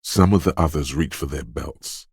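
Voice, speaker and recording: An extremely deep-voiced quick-witted inner voice of a detective's instincts in a video game. He narrates in the second person, knowing and measured, with a dramatic touch. The sound is very close and dry. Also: a little mellow, husky, dry recording, exaggerated voice. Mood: alert, suspicious. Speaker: voice actor.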